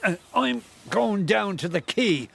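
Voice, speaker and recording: Creaky, high-voiced, kindly older Irishman. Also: animated, slow pace, fairly bright tone, full character voice. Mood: coaxing. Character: kindly, eccentric older man, doggedly generous, gently teasing. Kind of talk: radio play acting.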